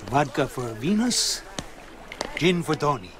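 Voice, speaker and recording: A man's voice: on the high side, very animated, unhurried, background noise. An actor playing a desperate, deferential henchman in a video game. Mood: servile. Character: desperate, submissive male henchman turned servant. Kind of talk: video game cutscene acting. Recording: music behind the voice.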